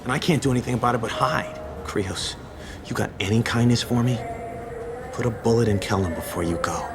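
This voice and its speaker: An actor playing a frightened young street informant in a video game, jittery and stammering. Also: male voice, medium pitch, a little fast, music in the background, close to an everyday voice. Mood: bitter, resigned.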